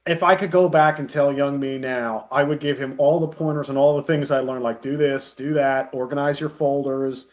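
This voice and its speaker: A man's voice: mid-range voice, fairly even intonation, moderate pace, hardly any pauses. A man chatting casually as an interview guest, rambling and acting out imagined lines as he explains. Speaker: guest, parody web video creator and editor. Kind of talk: animated casual chat.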